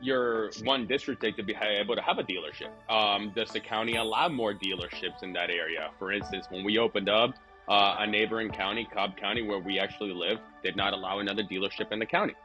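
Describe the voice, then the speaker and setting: Low-voiced man with a Venezuelan accent. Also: fairly even intonation, brisk, sharp tone, faint music behind, low-quality recording. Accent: Venezuelan Spanish. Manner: rambling, many fillers and restarts. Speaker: guest, truck dealership owner. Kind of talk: casual podcast interview.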